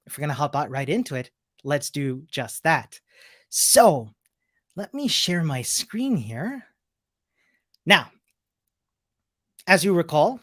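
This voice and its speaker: An upbeat, chatty woman from Chile leads a live online coding class. She greets viewers warmly and explains each step clearly while sharing her screen, talking into a computer mic. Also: low voice. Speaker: coding instructor. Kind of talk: live-streamed coding lesson.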